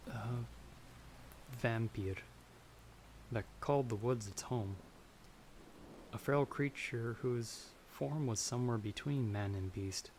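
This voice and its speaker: A young farmer, played by a man, mid-pitched and politely formal, tells his story in a fantasy audio roleplay. He is timid and easily scared, choosing his words with hesitation. A faint wash of stormy ambience sits behind his voice. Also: darkish tone, slight background noise. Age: young adult. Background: stormy night ambience.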